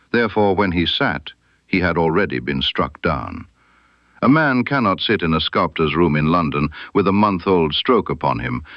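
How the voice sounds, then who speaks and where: A man voicing a stern, incisive detective in an audiobook mystery, precise and emphatic, with dramatic pauses. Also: low voice, no room sound, dull audio. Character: stern, incisive male detective laying out his case.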